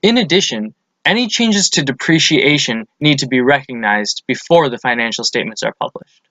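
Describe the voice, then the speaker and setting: A man's voice: medium pitch, expressive, moderate pace, pushed and bright, dry recording, muffled recording. A man presents a lesson as scripted instructional narration, explaining things methodically step by step.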